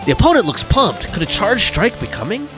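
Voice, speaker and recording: A woman's voice: medium pitch, sing-song, fairly quick. A woman streaming a game with casual commentary, sometimes reading the on-screen text aloud. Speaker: gaming streamer. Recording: game music behind the voice. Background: video game music.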